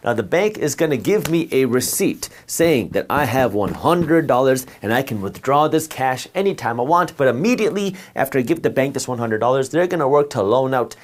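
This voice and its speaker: A man's voice: mid-range voice, expressive, fairly quick, hardly any pauses. A man whose first language is Punjabi, giving a step-by-step explanation of banking while drawing a diagram. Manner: step-by-step explanation with worked examples. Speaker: finance educator.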